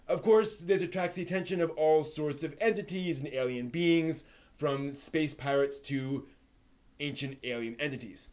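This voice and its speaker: A man's voice: fairly high pitch, normal speed, darkish tone. A man hosting a live stream, talking through anime reviews and discussion in a conversational way.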